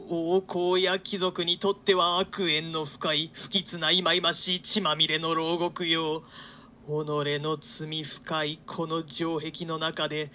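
A woman's voice: deep voice, sharp tone, a little hiss or hum, exaggerated voice. A woman playing a condemned nobleman, grave, bitter and pious, in a declamatory theatrical reading of archaic Shakespeare. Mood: bitter, defiant. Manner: declamatory, solemn.

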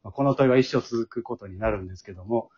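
A man's voice: lowish voice, fast talker, a little mellow, slightly rough. A man hosting a casual group radio chat in a polite, chatty way, often turning to others for their views. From Japan. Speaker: host. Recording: dull, muffled lo-fi audio.

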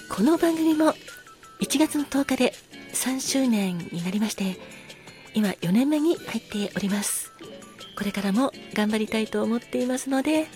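A woman with a fairly high voice hosts a casual solo talk show over faint background music. She speaks courteously, full of gratitude, with a softly apologetic way of addressing her listeners. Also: expressive, normal speed, long pauses. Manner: polite, effusively grateful, softly apologetic. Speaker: podcast host.

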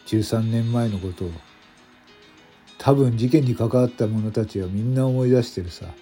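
A man playing a regretful veteran police detective in a radio drama, musing in gruff, terse phrases. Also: mid-range voice, normal speed, dark tone, soft background music, lightly acted.

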